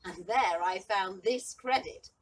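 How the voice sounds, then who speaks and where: A woman playing a bewildered, lovestruck young man in a Shakespearean monologue, speaking haltingly and with wonder. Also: medium pitch, pitched above the performer's own voice, lightly acted. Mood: dazed wonder. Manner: halting, wondering, shifting from hushed to exclaiming. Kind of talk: Shakespearean stage monologue, verse acting. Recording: distant mic with light background noise.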